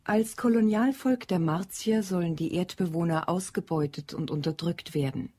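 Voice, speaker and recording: A woman's voice: on the low side, moderate pace, warm tone, slightly rough. A woman narrating a radio play with composed, factual scripted delivery. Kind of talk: scripted narration, plot summary.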